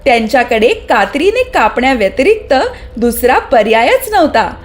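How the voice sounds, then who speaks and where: High-pitched animated woman storyteller. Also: moderate pace. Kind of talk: scripted children's story narration.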